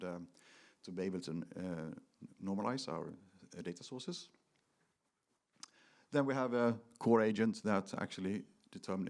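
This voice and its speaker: A man giving a technical product presentation to an audience, speaking in a halting, emphatic way with slightly non-native phrasing. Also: fairly low pitch. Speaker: conference presenter.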